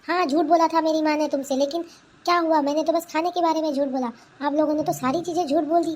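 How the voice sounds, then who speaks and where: A woman's voice: high-pitched, fairly even intonation, fast talker, darkish tone, lightly acted. A woman voicing a defiant, complaining young daughter-in-law in a comedy cartoon. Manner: indignant, complaining, talks back. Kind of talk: Hindi cartoon family comedy dubbing.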